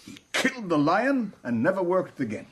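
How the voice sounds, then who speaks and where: An actor playing a quiet, grave local guide, speaking terse, halting in a radio drama. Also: male voice, high voice, sing-song, slightly rough, exaggerated voice. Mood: grave, reflective. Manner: terse, halting, simple sentences. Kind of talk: broadcast radio drama acting.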